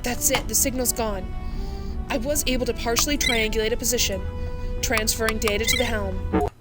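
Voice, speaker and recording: Brief: actress as female starship comms officer, crisp reporting, higher-pitched, sci-fi audio drama, music underneath. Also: fairly even intonation, normal speed, close to an everyday voice. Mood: alert, urgent.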